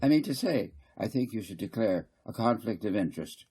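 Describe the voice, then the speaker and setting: A man reading a story, voicing a flustered, argumentative town councillor who blusters haltingly and repeats himself. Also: fairly low pitch, expressive, dark tone, slightly rough. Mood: flustered, accusing.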